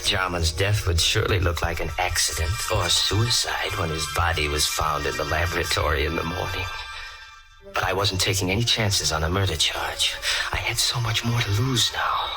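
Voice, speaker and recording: An actor playing a smug, uneasy immortal murderer in a 1940s radio thriller, with melodramatic, brooding delivery. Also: male voice, low-pitched, normal speed, rough recording. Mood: gloating, sinister. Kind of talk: 1940s radio acting, first-person thriller narration. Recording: old radio play with organ music.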